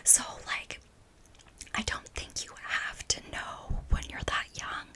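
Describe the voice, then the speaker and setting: A woman in her thirties from Canada whispering a loose, rambling ASMR chat full of "like" and "um". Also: takes it slowly. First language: not French; French is her third language. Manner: rambling, filler-heavy "like" and "um". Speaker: ASMR creator. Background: ASMR trigger sounds.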